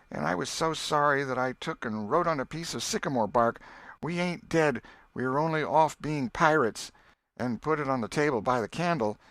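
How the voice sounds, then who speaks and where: A mischievous country boy from the American South, performed by a male narrator, pitched well up. He recounts things earnestly and haltingly, wide-eyed and crafty, as in a storybook. The recording is dry and close. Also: fairly bright tone, dry recording. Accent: rural American South. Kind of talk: audiobook character voice.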